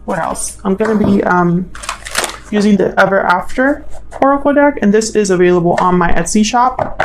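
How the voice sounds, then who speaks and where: A woman's voice: mid-range voice, normal speed, background noise. A woman giving an informal tarot reading as host, musing and thinking aloud in a tentative way. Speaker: tarot reader, channel host.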